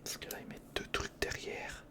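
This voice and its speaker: Whispering man doing casual ASMR. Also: normal speed. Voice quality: breathy whisper, mostly unvoiced. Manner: hesitant, muttering to himself while working, chatty asides to the viewer. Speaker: ASMR video host. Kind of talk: whispered ASMR build-along, casual and improvised.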